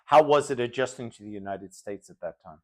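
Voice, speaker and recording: A man from hosting a political interview, speaking in a measured way with jokey asides. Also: medium pitch, darkish tone. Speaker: interview host.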